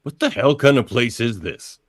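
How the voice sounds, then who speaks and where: A man playing a gruff, blunt, defiant tough-guy hero in a radio drama. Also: mid-range voice, lively intonation, moderate pace, no echo, studio quality, close to an everyday voice. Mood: irritated.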